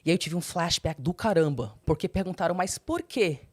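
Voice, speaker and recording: A young adult woman, a guest in an interview, candidly telling her personal story and breaking into high, animated quotes. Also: fairly quick. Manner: expressive, swings into high animated quoting.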